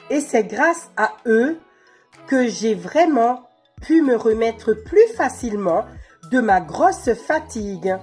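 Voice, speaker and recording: Husky 40-something lady, earnest and lively, faint music behind. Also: on the high side. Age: 40s. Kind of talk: testimonial.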